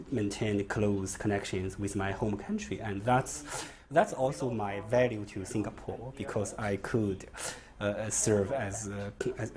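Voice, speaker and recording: A man's voice: fairly low pitch, a little mellow. A man from China, a law professor, answering thoughtfully with repeated words. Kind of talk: interview answer.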